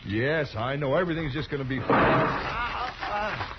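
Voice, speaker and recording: An actor playing a timid, frightened young man in a 1950s western radio drama, halting and pleading as he speaks. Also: fairly high pitch, big pitch swings. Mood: meek relief. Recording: muffled old radio recording, faint hiss.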